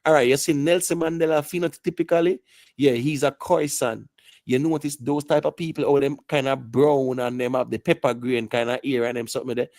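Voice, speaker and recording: A man's voice: mid-range voice, fairly even intonation, a little fast, a little mellow, dry recording, clean studio sound. A man with a Jamaican accent on a live panel discussion, lecturing at length and often checking that his listener follows. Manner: lecturing, repeats "you get me" asides.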